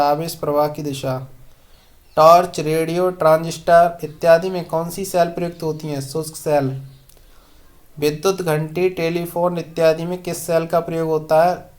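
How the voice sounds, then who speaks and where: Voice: medium pitch, little pitch movement, a little slow, darkish tone. Methodical exam coach reading questions and answers. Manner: methodical quiz delivery, each question followed by a short answer. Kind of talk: question-and-answer lesson read aloud.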